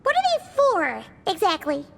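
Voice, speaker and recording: A woman voicing a tiny, cheeky, childlike fairy companion in a video game, squeaky and impatient. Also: wildly swooping pitch, normal speed, creaky, slight background noise, full character voice. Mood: confused, impatient. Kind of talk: video game character dialogue. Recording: loud music under the voice.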